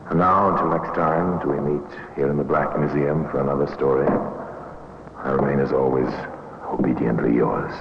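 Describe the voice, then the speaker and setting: A man narrating as host of an old-time radio drama, grave and deliberate. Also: rumbling low, steady tone. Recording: muffled old radio recording, reverberant, faint hiss.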